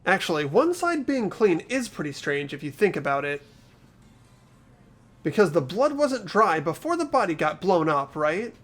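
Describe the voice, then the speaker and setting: High, animated anxious teenage boy, acted by a man. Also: moderate pace, exaggerated voice. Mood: reasoning. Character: anxious, earnest teenage boy accused of murder. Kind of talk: fan-voiced visual novel game dialogue. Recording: music behind the voice.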